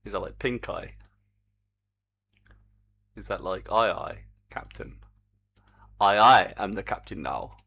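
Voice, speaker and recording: A man's voice: on the low side, expressive, slow pace, gravelly, pauses often, bright, forceful tone, breathy edge. A man streaming his game development work, chatting to viewers in a rambling, self-mocking way. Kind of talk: casual live-stream commentary while coding.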